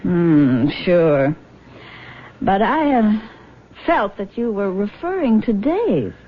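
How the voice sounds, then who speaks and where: An actress playing a concerned, perceptive American daughter in a 1970s radio drama, with a probing, measured manner. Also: female voice, medium pitch, sing-song. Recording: dull vintage radio recording.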